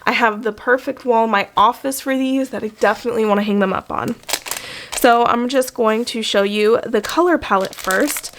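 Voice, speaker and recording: A woman vlogger with a slightly croaky voice from being sick, chatting enthusiastically through a product unboxing. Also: a little high, normal speed, a bit bright, a little hiss or hum. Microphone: desk microphone.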